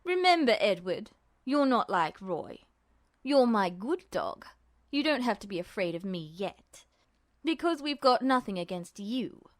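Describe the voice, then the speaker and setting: Cold, menacing man played by a woman narrator, lowered pitch, dispassionate and patronizing, smug story villain. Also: very animated, unhurried, dry recording. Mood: smug menace. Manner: quiet, dispassionate, mockingly patronizing. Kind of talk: dramatized fan fiction reading.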